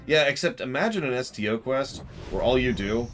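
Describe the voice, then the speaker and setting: A man's voice: medium pitch, expressive, fairly quick, fairly bright tone, faint music behind. A man streaming a video game with casual, wry and emphatic commentary. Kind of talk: casual live gaming commentary.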